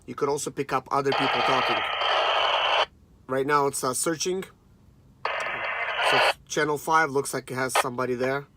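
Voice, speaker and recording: A man in his thirties chatting casually and informally to viewers as he reviews a product. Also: medium pitch. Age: 30s. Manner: informal, conversational, addresses viewers as guys. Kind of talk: casual unboxing chat to camera.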